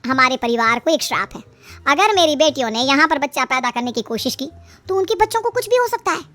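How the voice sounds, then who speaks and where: A woman's voice: high voice, lively intonation, brisk, sharp tone. A woman voicing a middle-aged mother-in-law in a story, coaxing and plaintive as she schemes. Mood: coaxing, scheming. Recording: music behind the voice.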